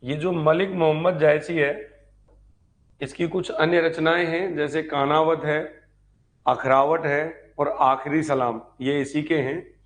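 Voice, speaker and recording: A man's voice: on the high side, steady tone, slow pace. A man giving an informal online history lecture as a teacher, repeating key points and asking check questions. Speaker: history teacher for exam preparation.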